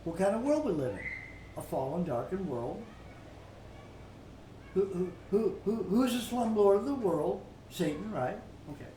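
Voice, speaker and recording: A woman's voice: mid-range voice, very animated, dark tone. Roomy, distant recording of a folksy woman preacher. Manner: folksy, halting, repeats words and pauses often. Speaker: preacher telling a story. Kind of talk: informal Bible teaching with storytelling. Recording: roomy, distant mic with faint noise.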